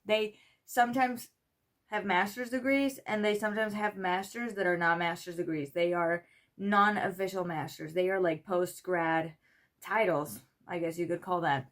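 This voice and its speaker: A woman's voice: on the low side, moderate pace. Chatty young woman from Spain. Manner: chatty, rambling, full of "like" and "you know", lively quoted voices. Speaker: PhD student vlogger. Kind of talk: casual storytelling to camera.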